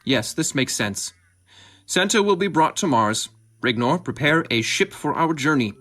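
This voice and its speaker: A man's voice: on the high side, expressive, unhurried, a bit bright. A man playing a solemn, kindly but dim Martian king, speaking formally in a comic sci-fi audio play. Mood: commanding. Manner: formal, stately.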